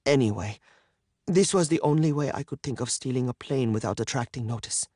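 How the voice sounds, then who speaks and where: A man plays a young woman captor in an audiobook thriller, pitched somewhat high over a close, dry recording. She is cool, tough and unflappable, speaking matter-of-factly with quiet menace. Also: a little fast, no echo, lightly acted.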